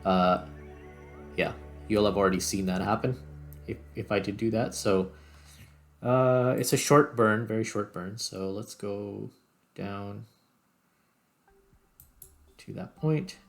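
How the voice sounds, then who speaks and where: A man's voice: fairly low pitch, slow, creaky, long pauses. A man giving casual gameplay commentary, thinking aloud as he goes. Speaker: gaming video host. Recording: music playing behind the voice. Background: background music.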